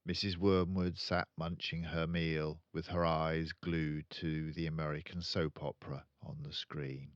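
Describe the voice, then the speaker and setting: An older man with a British accent reading a children's story aloud as an expressive narrator. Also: extremely low pitch, unhurried, few pauses, dry recording, dull audio.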